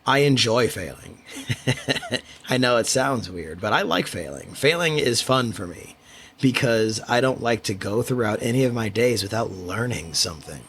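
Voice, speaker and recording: Quiet low-voiced man musing aloud. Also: lively intonation, normal speed, slight background noise. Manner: reflective, rambling with restarts. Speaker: podcast host. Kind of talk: solo podcast monologue. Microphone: studio microphone.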